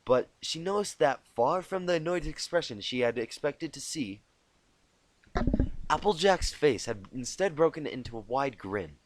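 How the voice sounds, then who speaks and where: A man's voice: fairly high pitch, expressive, normal speed, rough recording. A man reading a story aloud informally, self-deprecating, stumbling and correcting himself as he goes. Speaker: amateur fan fiction reader. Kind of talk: informal storybook narration with asides. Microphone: cheap home microphone.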